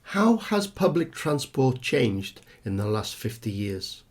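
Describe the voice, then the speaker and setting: A man's voice: mid-range voice, sing-song, takes it slowly, husky. A man reading scripted exam questions and instructions as an examiner.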